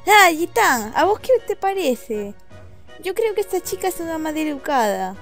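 Woman acting brash high-voiced Argentine actress, indignant. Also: very animated, normal speed, faint music behind. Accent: attempted Argentine.

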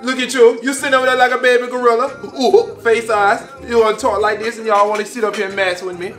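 A man's voice: extremely high pitch, little pitch movement, quick pace, a bit bright, faint music behind. A young man playing a barber who scolds and teases his customers with insults. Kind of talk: scripted comedy banter. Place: barbershop, indoors.

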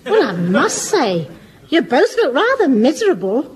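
A woman's voice: high voice, huge pitch swings, moderate pace, slight background noise, poor audio. An actress playing a gushing, giggly middle-aged mother in an old radio comedy. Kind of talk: 1970s radio comedy acting.

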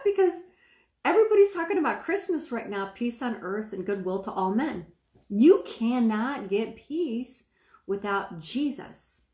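A middle-aged woman with a soft speaking voice, preaching casually to a phone camera and checking that listeners follow her. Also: a little high, reverberant. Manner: chatty, asks the viewer questions, stretches words for emphasis. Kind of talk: informal sermon to camera. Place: small room indoors, seated.

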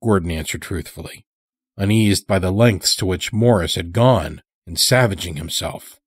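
A man narrates a scripted horror tale. His voice is lowish and husky, with slow pacing and a close, dry sound. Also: dry recording.

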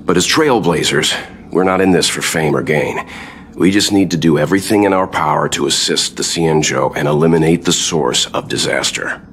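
Deep-voiced, measured mentor. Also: male voice, fairly bright tone. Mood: solemn, instructive. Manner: measured, deliberate, formal. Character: calm, composed older male expedition leader, a mentor. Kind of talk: video game cutscene dialogue.

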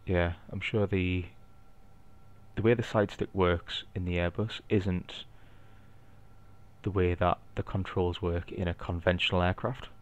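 Deep-voiced Geordie man narrating gameplay. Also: a little slow, pauses often. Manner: relaxed, explanatory, thinking aloud with light asides. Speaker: flight simulator video maker. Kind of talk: casual narrated gameplay walkthrough.

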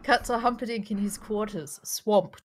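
A woman's voice: medium pitch, moderate pace. A woman reading out screenplay stage directions as narrator in a live table read over a video call. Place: indoors, on a group video call.

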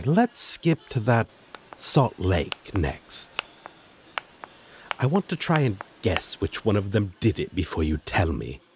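Guy acting as chill flirty demon roommate for an audio roleplay, low husky voice, slow and teasing. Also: background noise, exaggerated voice. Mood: animated, amused. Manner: teasing, casual, drawn-out delivery with dramatic swoops. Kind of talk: scripted audio roleplay addressed to listener.

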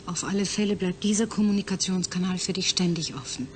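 A woman's voice: fairly low pitch, fast, a little hiss or hum, natural voice. A woman playing a caring scientist who patiently soothes her patient in a radio drama. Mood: soothing. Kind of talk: German radio drama acting.

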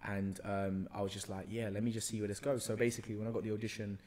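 A young man with a London accent, talking casually as a podcast guest and retelling his experiences in a slangy, expressive way. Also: fairly low pitch, quick pace. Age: young adult. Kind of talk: casual conversational storytelling. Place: bright indoor room, seated on a sofa. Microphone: podcast microphone on a boom arm, close.

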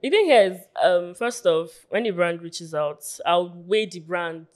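A woman in her twenties from Lagos with a Nigerian accent, a guest influencer chatting casually in a small studio on a boom mic, full of "like" fillers. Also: measured pace. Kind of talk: casual interview chat. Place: small pink-walled studio. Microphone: studio mic on boom arm.

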